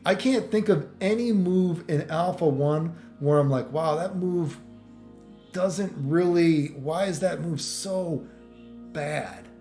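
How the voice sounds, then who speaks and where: Mellow-voiced man ranting about games, echoey room. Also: on the high side, slow, soft background music. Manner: opinionated, rambling, sweary. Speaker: gaming video commentator. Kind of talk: casual off-the-cuff ranting game commentary.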